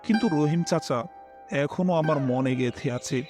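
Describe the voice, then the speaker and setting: A man's voice: fairly high pitch, unhurried. A man reading a scripted first-person horror story as its narrator. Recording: music behind the voice.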